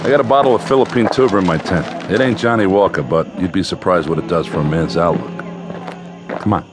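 An actor playing a steady, perceptive army captain in a radio war drama, plain-spoken and probing. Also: male voice, low voice, fast, over music, natural voice. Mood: companionable.

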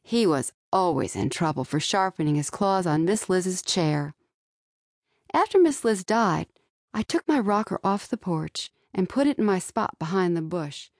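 A woman narrates a young-adult audiobook in the first person. Her voice sits fairly low. The recording is dry, with very little room sound. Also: animated, measured pace, darkish tone, dry recording. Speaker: author narrating own audiobook. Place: dry studio.

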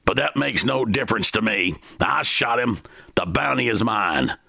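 A man doing a threatening Western gunman, barking, bright tone, dry sound. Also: on the low side, lively intonation, normal speed, creaky, slightly rough, no room sound, pitched above the performer's own voice, heavily acted. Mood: menacing. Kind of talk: storytelling.

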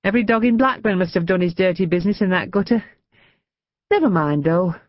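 A woman's voice: on the low side, brisk, dry recording, muffled recording, voice pushed up, natural voice. A woman voicing a kind, plain-spoken woman in her thirties in a storybook reading. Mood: kindly. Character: warm-hearted working woman in her thirties.

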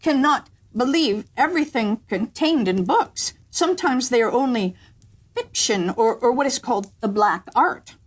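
A woman's voice: a little high, expressive, normal speed, voice pushed up. A woman voicing a haughty, fussy male lord in waiting in a storybook reading, stuffy and a little flustered.

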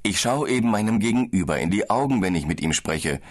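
Low male, calm and explanatory, scripted audiobook dialogue. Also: brisk. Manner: calm, explaining, slightly tired. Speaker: business traveller in a language-course dialogue.

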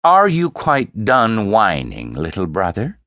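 A man's voice: fairly low pitch, huge pitch swings, takes it slowly, bright, forceful tone, dry recording, voice pushed up, heavily acted. A man voicing a smug young woman who taunts her little brother with a smirking tone. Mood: mocking. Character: smug, mocking young woman warrior, older sister.

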